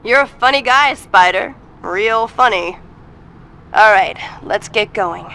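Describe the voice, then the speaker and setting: An actress playing a playful, flirtatious masked antihero in a video game, teasing and sardonic. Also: female voice, medium pitch, slow pace, bright, forceful tone. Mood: sly, teasing. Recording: music behind the voice.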